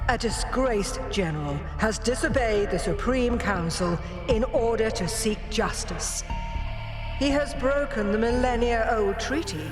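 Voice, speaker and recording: A woman playing a stern, eloquent royal advisor in a video game, speaking in formal, ornate and solemn phrases. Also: fairly high pitch. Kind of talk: video game cutscene acting.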